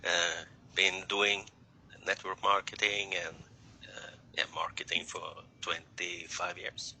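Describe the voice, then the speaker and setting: A man from Norway, a network marketer, speaking hesitantly with many pauses and fillers in a casual group chat. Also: low voice, little pitch movement, sharp tone, slight background noise, poor audio.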